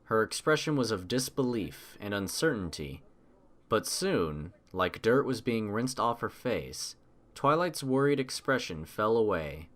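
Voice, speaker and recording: A man's voice: lowish voice, a bit bright. A man reading scripted story narration as an audiobook narrator.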